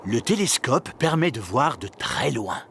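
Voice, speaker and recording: A man playing a friendly storybook narrator in a French children's cartoon, with a clear, explanatory delivery. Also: mid-range voice, big pitch swings, measured pace, background noise. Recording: loud music behind.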